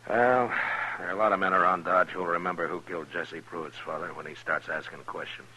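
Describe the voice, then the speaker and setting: An American actor playing a stern, steady frontier lawman in a 1950s radio western, speaking tersely with measured authority. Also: sits low, slightly rough, heavily acted, poor audio. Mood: grave. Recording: old radio recording, faint noise.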